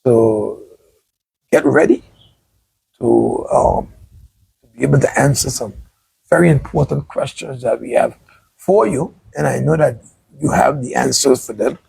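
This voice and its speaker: A man's voice: mid-range voice, expressive, unhurried, gravelly, long pauses, a little mellow, breathy edge, poor audio. A man from Sint Maarten hosting a casual livestream interview outdoors, chatty and rambling. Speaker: talk show host. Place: outdoors by the beach.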